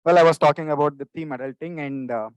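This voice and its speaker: A man's voice: on the high side, fast talker, clear, resonant tone, dry recording, rough recording. A young man hosting a student club meeting, casually welcoming guests onto the stage with friendly encouragement. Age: young adult. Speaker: meeting host.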